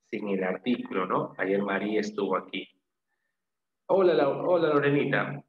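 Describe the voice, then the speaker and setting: A man teaching an interactive language class, asking questions, repeating answers and confirming them with short praise and tag questions. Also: on the low side. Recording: echoey room, dull lo-fi sound, faint noise.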